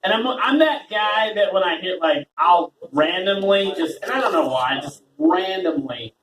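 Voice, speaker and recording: A man's voice: high voice, lively intonation, a little slow, a crowd in the background. A man hosting an open mic and doing a rambling, self-deprecating stand-up set, laughing often. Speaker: open mic host and comedian. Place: small open mic venue with a talking audience. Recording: roomy audience recording from far off.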